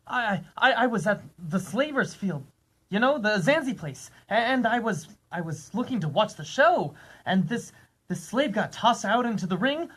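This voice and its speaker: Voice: mid-range voice, very animated, normal speed, a bit bright, slightly rough. A performer voicing a small, portly, nervous alien who stammers and repeats words in a radio drama. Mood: excited, breathless. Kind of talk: old-time radio drama acting.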